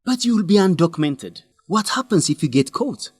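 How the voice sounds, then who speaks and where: An actor playing an earnest, principled young man who urges his friend in a radio drama, with an animated, scolding manner. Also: high voice, normal speed, husky, dry recording, exaggerated voice. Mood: pleading, scolding. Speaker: friend and fellow researcher.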